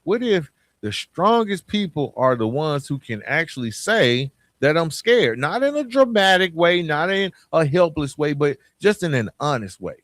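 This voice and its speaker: A man's voice: a little high, very animated, moderate pace, a bit bright, no echo, studio quality. A man with an Atlanta Southern accent giving an informal motivational podcast monologue, conversational and emphatic, often repeating phrases and posing questions. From Atlanta, southern United States. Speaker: podcast host.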